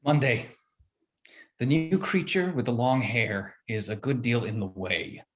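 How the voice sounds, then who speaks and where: A man's voice: medium pitch, measured pace, natural voice. A man playing Adam, a peevish first man who wants to be left alone, reading his diary in a complaining way over a video call. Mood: grumpy. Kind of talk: stage reading of a comic play.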